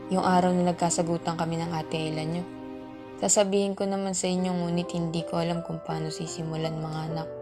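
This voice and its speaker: A woman's voice: lowish voice, little pitch movement, a little fast, over music, lightly acted. A woman playing a stern, emotional mother of three with a Filipino accent in an amateur radio drama. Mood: sorrowful, apologetic. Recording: background soundtrack under the voice.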